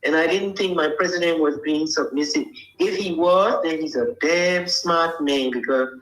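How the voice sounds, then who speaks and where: A woman's voice: deep voice, normal speed. Older woman, interview guest; forthright, insistent, roomy sound. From Liberia. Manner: blunt, emphatic, asks "you understand?". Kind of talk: casual interview talk.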